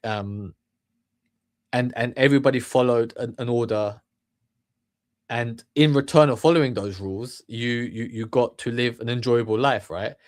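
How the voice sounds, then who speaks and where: A man from the UK talking through a loose motivational monologue, full of restarts, fillers and rhetorical questions. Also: medium pitch. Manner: rambling, many restarts and fillers. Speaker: channel host.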